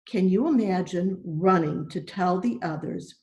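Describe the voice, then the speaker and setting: Slow-paced woman reading a children's story. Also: on the low side, darkish tone. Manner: deliberate, simple phrasing with questions to young listeners. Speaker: church storyteller. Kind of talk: children's Bible story read aloud. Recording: echoey room.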